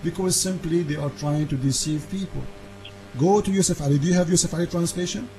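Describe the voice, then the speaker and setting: A man whose first language is Arabic hosts a call-in debate, insistent and argumentative, speaking broken English and cutting in with quick repeats. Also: a little high, dark tone, soft background music, rough recording. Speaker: debate show host.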